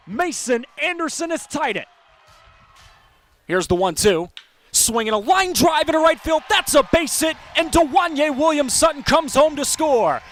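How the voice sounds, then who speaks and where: An American man calling baseball play-by-play as a broadcaster, animated and given to shouting on big plays. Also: very high-pitched. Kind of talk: live sports play-by-play commentary.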